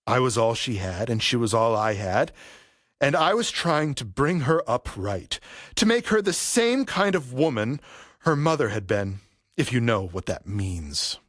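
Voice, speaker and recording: An actor playing a vengeful, grieving middle-aged father in an audio play, speaking with deliberate, theatrical menace. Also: mid-range voice, sing-song, fairly bright tone, dry recording, studio quality. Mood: bitter grief. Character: vengeful grieving father, a barber settling an old debt.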